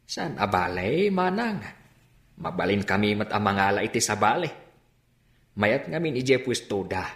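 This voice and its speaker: A man's voice: fairly low pitch, big pitch swings, a little slow, a bit bright, echoey room, heavily acted. An actor playing a chatty man sharing family news in a radio drama.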